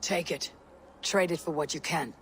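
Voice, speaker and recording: Low husky female voice, actress playing vengeful young Viking warrior, terse and brooding, game dialogue, ambient noise behind. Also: normal speed, natural voice. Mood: wry, guarded. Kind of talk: dramatic video game acting.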